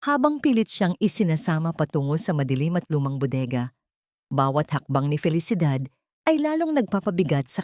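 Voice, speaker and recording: A woman narrates a scripted dramatic audiobook. Her voice sits a little low for a woman, and the sound is dry, with hardly any room on it. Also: no room sound.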